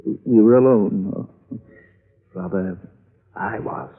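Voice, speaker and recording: An actor playing a frail, fussy, lonely old man in a 1950s radio drama, speaking in a halting, querulous, deliberate way. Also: on the low side, breathy edge. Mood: troubled, irritated. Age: older. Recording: muffled old radio recording.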